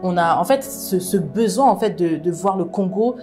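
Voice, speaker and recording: Woman from France, lowish voice, earnest, conversational, faint music behind. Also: animated, normal speed, darkish tone. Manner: earnest, frequent restarts and affirming "exactement". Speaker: book club founder, interview guest. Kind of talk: casual conversational interview.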